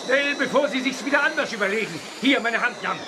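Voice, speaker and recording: A man's voice: very high voice, fast talker, noisy background. A man in a German audio drama playing an alert, cautious professor exploring space, urgent and explanatory. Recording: loud music behind the voice. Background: loud music.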